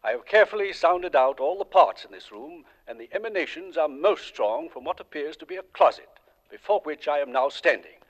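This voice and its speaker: An actor playing an earnest, methodical ghost-hunting doctor, giving formal, precise reports in 1940s radio suspense style. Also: male voice, fairly high pitch, expressive, brisk. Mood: grave, intent. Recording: dull, lo-fi vintage radio recording.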